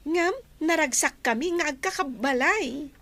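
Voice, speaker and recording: Actress as a high-voiced, welcoming young woman. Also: measured pace, a bit bright, dry recording. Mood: friendly, inviting. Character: friendly young woman co-worker, welcoming and modest. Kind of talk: Ilocano audio drama acting.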